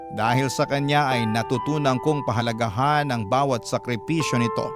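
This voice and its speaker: A man narrating an emotional scripted first-person story for a radio drama, measured and earnest. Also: mid-range voice. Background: prominent background music.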